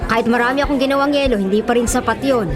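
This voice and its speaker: Actress as higher-voiced, practical Filipino wife. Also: female voice, rapid-fire, crowd noise, natural voice. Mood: mildly complaining. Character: kindly, practical storekeeper wife, patient with relatives. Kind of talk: Filipino radio drama acting. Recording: music and public-space bustle behind.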